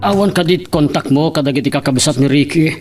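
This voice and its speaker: A man's voice: on the high side, a little fast, far from the mic, over music, natural voice. A man playing a supportive, pious elderly father in an Ilocano family drama. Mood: consoling. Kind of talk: Ilocano family radio-style drama acting.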